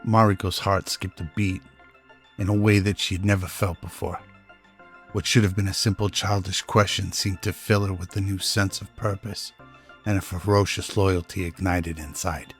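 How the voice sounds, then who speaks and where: A man's voice: sits low, little pitch movement, normal speed. A man reading scripted narration for a radio-style drama. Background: music.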